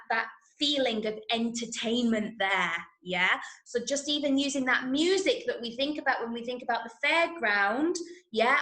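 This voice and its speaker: High-voiced English woman teaching, roomy sound. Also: a bit bright. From Manchester, England. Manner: encouraging, frequent checking "yeah?" and "okay?". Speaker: workshop teacher of radio drama. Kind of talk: online lesson to schoolchildren. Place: indoor room, online video call. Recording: roomy, echoing online-call sound.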